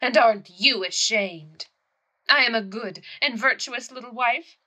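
Haughty young widow, high sharp voice, big tragic stage delivery. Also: female voice, unhurried. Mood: wounded, reproachful. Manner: declamatory, imperious, tragic. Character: young, melodramatic grieving widow, haughty and self-dramatizing. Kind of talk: theatrical audio play acting.

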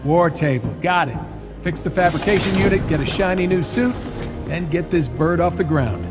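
An actor playing a cocky, wisecracking genius inventor hero in a video game, with glib, sarcastic delivery. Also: male voice, on the high side, moderate pace, over loud music, natural voice. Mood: brisk, confident. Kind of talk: video game cutscene acting.

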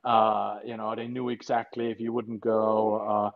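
A man's voice: on the low side, flat delivery, measured pace, gravelly. German-accented man on a video call. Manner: jokey, chatty storytelling with frequent fillers. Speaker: veterinarian guest. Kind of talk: casual video-call interview. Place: home living room. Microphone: video call webcam. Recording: slightly dull video-call audio.

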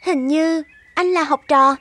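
A woman voicing a gentle, courteous young lady of good family in a cartoon fairy tale. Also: sits high, fairly even intonation, a little slow, no echo. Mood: curious, polite. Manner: courteous, sweet. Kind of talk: animated fairy tale voice acting. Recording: music under the voice.

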